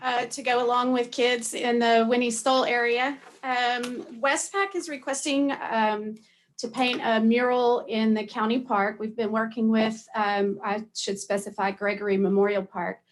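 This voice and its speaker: A woman with a fairly high voice addresses an official meeting as a community representative. She explains her request in a semi-formal way, pausing often as she goes. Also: little pitch movement, a little hiss or hum. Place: county meeting room.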